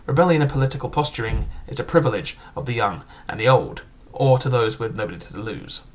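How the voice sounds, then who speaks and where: A man's voice: medium pitch, expressive, a little fast. A young man casually reviewing a book, chatty and loose, with frequent filler words and restarts.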